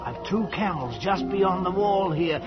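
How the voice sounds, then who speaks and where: An actor playing a brash British adventurer who poses as a mad priest, theatrical and sly, in 1940s radio style. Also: male voice, high voice, animated, measured pace. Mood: bold, conspiratorial. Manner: theatrical, sly, fawning or commanding by turns. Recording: muffled old 1940s radio, music behind.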